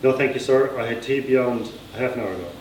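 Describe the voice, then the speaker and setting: A man's voice: medium pitch, fairly quick, a little mellow, natural voice. A man with an Irish accent voicing a polite, reserved young farmer in a story, courteous and brief. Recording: echoey room, distant mic, faint noise.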